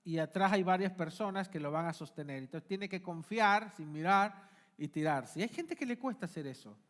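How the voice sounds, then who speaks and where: Male pastor, high lively voice, emphatic preaching style. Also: moderate pace. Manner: emphatic preacher, rising and dropping to quiet asides. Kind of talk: sermon. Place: church.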